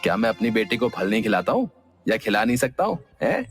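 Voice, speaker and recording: A man's voice: lowish voice, big pitch swings, fast talker. A man voicing a deferential younger brother in a cartoon, agreeable and eager to please. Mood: grumbling. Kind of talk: cartoon voice acting. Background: background music.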